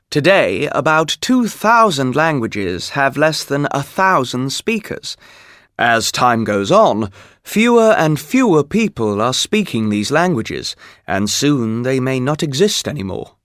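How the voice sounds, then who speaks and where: A man reading scripted educational narration for a textbook, steady and explanatory. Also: medium pitch, no room sound, studio quality. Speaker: textbook audio reader.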